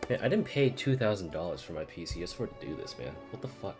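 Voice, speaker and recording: Deep-voiced man casually streaming a game. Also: sing-song, fast, music in the background. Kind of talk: casual live-stream commentary while gaming.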